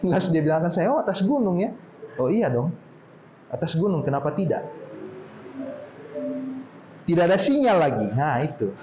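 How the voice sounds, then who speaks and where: High-voiced man with a Poso accent. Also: sing-song, warm tone, slight background noise. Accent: Poso regional Indonesian. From Poso, Central Sulawesi, Indonesia. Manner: chatty, drawn-out storytelling tone. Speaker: speaker at a talk. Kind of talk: casual talk to a group. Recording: roomy, dull lo-fi sound with faint ambience.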